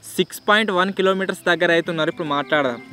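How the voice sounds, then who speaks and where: A man's voice: high voice, rapid-fire, bright, forceful tone, soft background music. A young man with a Telangana accent presenting a casual vlog in an informal, slangy way. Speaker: video host.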